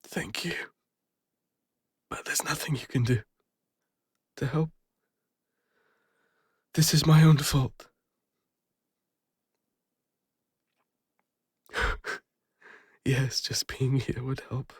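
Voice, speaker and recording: A man with an English accent playing a guilt-ridden, lonely retired adventurer, speaking haltingly and emotionally in an intimate fantasy audio roleplay. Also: mid-range voice, steady tone, husky, dry recording, studio quality. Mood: subdued, tearful.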